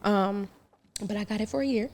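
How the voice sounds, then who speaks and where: A woman in her thirties from Trinidad, a business founder talking as a podcast guest in a relaxed, candid way and laughing easily. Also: medium pitch, fairly quick. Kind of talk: casual podcast interview.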